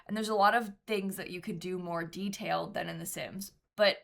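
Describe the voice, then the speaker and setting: Congested young woman streamer, gravelly. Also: fairly low pitch, steady tone, fairly quick, a bit bright. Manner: rambling, thinks aloud with frequent ums and giggles. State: congested from a cold. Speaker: gaming streamer. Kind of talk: casual live-stream chat while building in a game.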